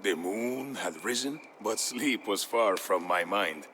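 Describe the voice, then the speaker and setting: A man narrates a dramatic historical story in a fairly low voice. His delivery is measured and solemn, and music plays softly behind him. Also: lively intonation. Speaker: narrator of a game campaign storyline.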